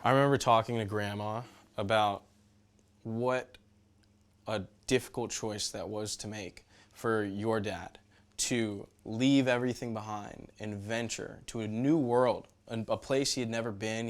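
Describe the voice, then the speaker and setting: A man's voice: on the low side, fairly even intonation, normal speed. A young adult man speaking reflectively in conversation, in a thoughtful, slightly hesitant way. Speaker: photographer interviewing his great-aunt.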